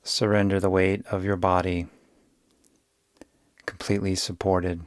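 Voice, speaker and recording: A man guiding a yoga nidra meditation in a soothing, unhurried way, giving gentle instructions with long pauses. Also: low-pitched, flat delivery, clear, resonant tone, dry recording. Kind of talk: guided meditation.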